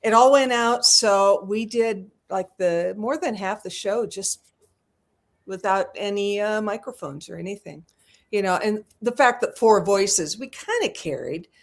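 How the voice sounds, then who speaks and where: A woman's voice: mid-range voice, measured pace. An older woman, a retired singer, chatting as an interview guest and laughing easily. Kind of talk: casual interview chat.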